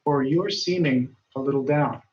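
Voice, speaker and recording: A man teaching an English grammar lesson, speaking slowly and deliberately, and raising his voice when he reads example dialogues aloud. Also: mid-range voice, darkish tone. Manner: patient, deliberate, lifts his voice when reading quoted lines. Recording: dull, roomy sound from a distance.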